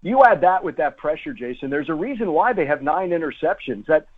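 A man's voice: fairly high pitch, expressive, a little fast. A man who works as a sports broadcaster, giving conversational analysis as a radio guest over the phone, emphatically repeating phrases. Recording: tinny, phone-like guest line.

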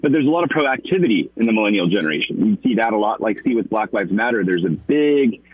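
A man's voice: lowish voice, lively intonation, fast talker, husky, no room sound, narrow, tinny audio. A middle-aged man calling into a radio show, rambling a little, with lots of fillers and restarts. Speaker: caller. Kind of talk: call-in commentary.

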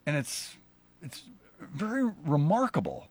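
Pastor guy hosting a chat, halting and rambly, lots of restarts, dry close mic. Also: fairly high pitch, wildly swooping pitch, a little mellow, breathy edge, dry recording. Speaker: pastor and show host. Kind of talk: casual conversation.

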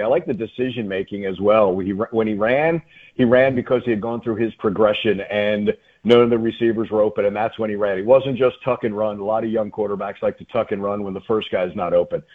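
A man's voice: lowish voice, steady tone, fast talker, slightly rough. A middle-aged or older man, a sports announcer, talking conversationally as a radio interview guest and often repeating phrases for emphasis. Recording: phone-like, narrow-band radio call-in.

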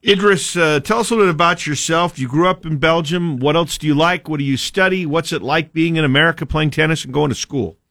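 A man asks direct questions one after another. His voice is slightly high and dry, recorded close with no room echo. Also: a little fast, few pauses, a bit bright. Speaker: interviewer. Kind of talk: interview questions.

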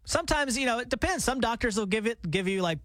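A man co-hosting a radio show, chatty and quick to laugh, his voice nasal from stuffed-up sinuses. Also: extremely high pitch, expressive, a bit bright, no echo, clean studio sound. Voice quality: nasal, congested. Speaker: radio co-host. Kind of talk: casual radio banter.

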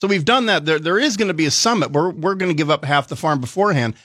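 A man's voice: on the high side, animated, fast, fairly bright tone, no room sound, studio quality. Blunt sardonic man on talk radio. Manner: blunt, sardonic, restarts phrases. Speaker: guest military analyst. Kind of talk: talk radio guest commentary.

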